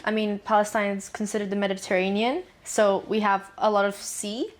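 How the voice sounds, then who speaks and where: A woman's voice: mid-range voice, flat delivery, fairly quick. A young woman from Dubai, a student guest answering interview questions in a frank, chatty way and laughing easily. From Dubai, UAE. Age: young adult.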